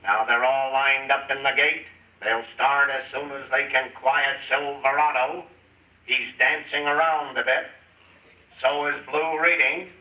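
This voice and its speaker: A man's voice: medium pitch, flat delivery, measured pace, bright, forceful tone. A man playing a racetrack announcer calling the horses in a radio comedy. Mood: announcing. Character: brisk male racetrack announcer. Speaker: track announcer. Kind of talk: race commentary. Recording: echoey, distant, dull public-address sound.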